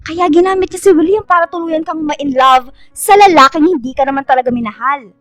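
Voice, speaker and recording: A woman's voice: high-pitched, brisk, dry recording, clean studio sound, natural voice. A woman playing a frank, caring young woman in a radio drama, speaking bluntly and with emphasis over music. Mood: blunt, confronting. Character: frank, caring young woman confronting her best friend.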